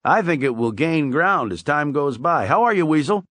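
Calm older teacher, polite and measured, dry close sound, read by a man. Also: a little high, no echo, pitched above the performer's own voice. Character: older male schoolteacher, calm and courteous.

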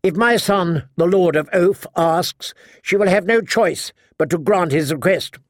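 A man voicing a sharp-tongued, imperious elderly noblewoman in an audiobook, brisk and commanding. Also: sits high, dry recording, studio quality, voice pushed up. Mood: shrewd, certain. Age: older.

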